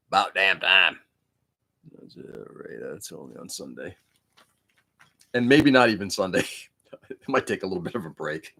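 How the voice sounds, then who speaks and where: A man whose first language is Greek, hosting a casual livestream from a room on his laptop, rambling and blunt with frequent swearing. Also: on the low side. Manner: rambling, profane, opinionated. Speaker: livestream host building model kits. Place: indoor room.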